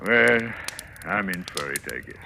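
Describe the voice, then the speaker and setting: A man's voice: sits low, animated, measured pace, gravelly, sharp tone, a little hiss or hum, exaggerated voice. An actor playing a scheming, self-assured husband in a 1940s radio thriller, theatrical and intense, confessing his own crime. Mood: shaken, pleading. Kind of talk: 1940s radio thriller acting, first-person confessional narration. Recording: old radio recording with dramatic music.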